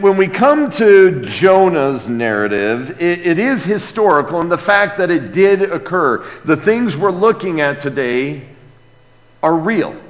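A man preaching a sermon as pastor, chatty and lively, with asides to the congregation. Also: on the high side. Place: church sanctuary. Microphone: microphone with feedback issues. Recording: long hall reverb, faint ambience.

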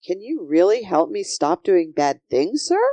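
A woman's voice: lowish voice, sing-song, measured pace, warm tone, no echo. A woman voicing an earnest, polite little girl in a children's storybook, eager and full of breathless awe. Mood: eager, hopeful.

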